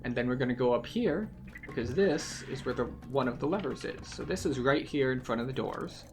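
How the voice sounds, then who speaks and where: A man's voice: mid-range voice, lively intonation, brisk, darkish tone. Male game tour guide, explanatory and methodical, music under the voice. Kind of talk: playthrough commentary.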